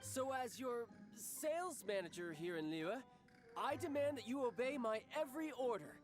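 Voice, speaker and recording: A man's voice: very high-pitched, lively intonation, moderate pace. An actor playing a cocky, playful young male warrior commander who speaks in a breezy, confident, teasing way, with game music behind him. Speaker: military commander. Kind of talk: video game voice acting.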